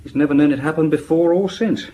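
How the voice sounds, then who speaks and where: An actor playing a diligent police inspector in a radio drama, reporting matter-of-factly in a conversational way. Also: male voice, a little high, warm tone, natural voice. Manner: hesitant pauses, matter-of-fact reporting. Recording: faint background noise.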